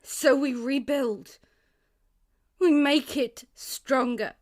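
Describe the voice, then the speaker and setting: A resolute young woman leading survivors in an audio drama, played by an actress. Her voice is high and slow, and the sound is dry. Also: no echo. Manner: resolute, measured.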